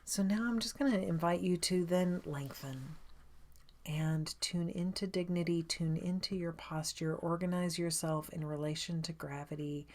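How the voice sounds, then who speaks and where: A woman leading a guided meditation as a coach, with a soothing, unhurried and inviting manner of gentle instruction. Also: fairly low pitch, fairly even intonation.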